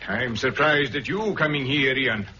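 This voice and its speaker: A surly armed guard. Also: male voice, mid-range voice, unhurried, pushed and bright. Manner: curt, sullen. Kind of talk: 1960s radio drama acting. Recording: muffled old radio broadcast with hiss.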